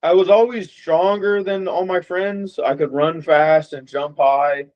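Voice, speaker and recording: A young man from Las Vegas chats loosely about himself, leaning on fillers as he goes. His voice sits a little high. The recording is muffled and lo-fi. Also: unhurried. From Las Vegas, USA. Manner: relaxed, rambling with many fillers. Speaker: boxer guest. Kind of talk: casual interview chat. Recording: dull lo-fi audio.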